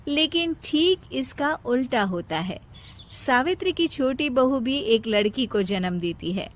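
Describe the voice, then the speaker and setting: A woman's voice: high voice, expressive, a little slow. A woman storyteller reading scripted narration of a moral family story.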